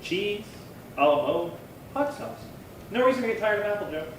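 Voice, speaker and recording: A man's voice: high voice, expressive, normal speed. Man acting a chatty detective, distant and echoey. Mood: chatty, insistent. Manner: chatty, insistent, easygoing. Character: chatty, folksy male police detective sidekick. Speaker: actor. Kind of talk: old-time radio crime drama acting. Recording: echoey room far from mic, clear noise.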